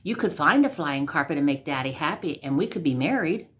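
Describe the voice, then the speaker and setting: A woman's voice: low voice, lively intonation, a little fast. A woman voicing a sweet, eager young princess in a storybook, gushing and forward. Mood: smitten, eager.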